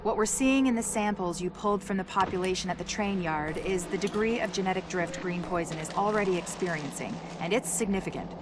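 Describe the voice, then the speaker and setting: A woman's voice: medium pitch, a little fast, hardly any pauses, close to an everyday voice. Blunt female scientist played by an actress, noisy backdrop. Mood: clinical, matter-of-fact. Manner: clinical explaining with deadpan blunt asides. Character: blunt, dry, analytical female virus scientist briefing an agent. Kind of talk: scripted video game briefing narration.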